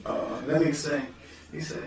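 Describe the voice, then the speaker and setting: A man with a Detroit accent, a guest rapper telling stories in slangy, animated casual podcast talk. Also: on the high side, moderate pace, reverberant, far from the mic. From Detroit, USA.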